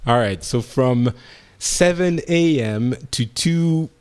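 A man's voice: mid-range voice, animated, slow pace, a little mellow. A man with a French accent hosting a casual group discussion, hesitant with many fillers and restarts. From France. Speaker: podcast host.

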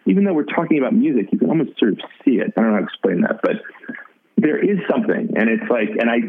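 A man with a raspy voice, talking as a musician guest in a casual interview, halting and full of restarts. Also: mid-range voice, very animated. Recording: tinny phone-like line.

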